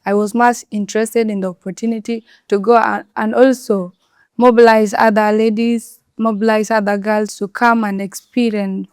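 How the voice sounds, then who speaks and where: A young woman working as an ICT community mobilizer, earnestly recounting her schooling struggles with some halting restarts. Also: medium pitch, level tone, darkish tone, clean tone, dry recording, studio quality. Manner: earnest, slightly halting storytelling. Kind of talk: personal account in an interview.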